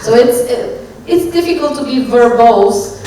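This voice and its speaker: A woman's voice: fairly high pitch, animated, unhurried, mellow, a little hiss or hum. A woman, a native Romanian speaker, explaining her point earnestly on a conference panel. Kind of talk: panel discussion. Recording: very echoey hall, distant mic, faint ambience.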